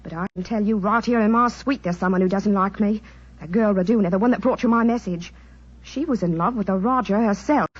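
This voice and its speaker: A woman's voice: mid-range voice, brisk, slightly rough, no echo, natural voice. A woman playing a breezy, frank chorus girl turned island queen, chatty and candid, in 1940s radio style. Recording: dull vintage 1940s radio recording.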